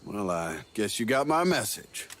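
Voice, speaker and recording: Game role: breezy older operative, wheedling schemer, swooping intonation on a fairly low voice. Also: male voice, sing-song, a little slow, fairly bright tone, a little hiss or hum. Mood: casual, explaining. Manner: folksy, wheedling, glib. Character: sly, breezy older male agency operative, smooth-talking schemer. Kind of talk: video game cutscene acting.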